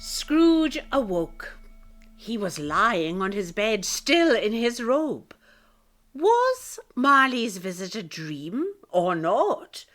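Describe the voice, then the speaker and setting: A woman narrating a radio play like a storybook, with deliberate, dramatic phrasing. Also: fairly high pitch, sing-song. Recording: loud music bed under narration.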